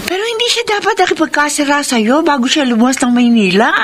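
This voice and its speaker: Shrill-pitched, indignant Filipina best friend. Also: female voice, animated, fast, slight background noise. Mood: indignant, scolding. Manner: scolding, emphatic. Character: indignant, protective young female confidante. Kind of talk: Filipino radio drama acting.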